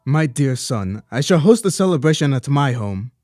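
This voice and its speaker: A man's voice: mid-range voice, very animated, moderate pace, warm tone, no room sound, studio quality, lightly acted. A young man playing a protective, grieving older father in a radio play, speaking in a formal, paternal manner. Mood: proud, fond. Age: young adult. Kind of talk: student radio play acting.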